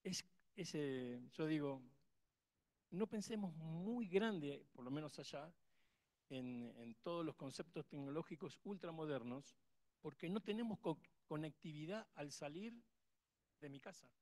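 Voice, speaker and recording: A man's voice: on the high side, expressive, unhurried, darkish tone. A man with an Argentine accent, speaking up from the audience at a conference, emphatic and rambling with many restarts. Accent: Argentine Spanish. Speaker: conference attendee asking a question. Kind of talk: impassioned audience remark.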